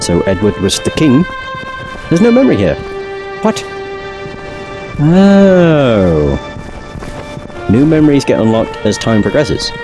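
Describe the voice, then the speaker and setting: A man streaming a game he has never played, chatting casually and now and then swearing, his voice still deeper than usual as he recovers from illness. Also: slow pace, long pauses. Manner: chatty, relaxed, occasional swearing. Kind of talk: casual gaming commentary. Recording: game music playing behind.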